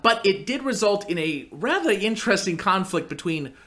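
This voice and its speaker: A performer playing an animated guest in a comic radio play. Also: medium pitch, moderate pace.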